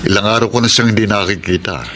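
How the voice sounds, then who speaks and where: A man playing a gruff, calculating, shady gang boss in a radio drama. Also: on the low side, fast talker, a bit bright, a little hiss or hum. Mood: brooding. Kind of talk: Tagalog radio drama acting. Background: music.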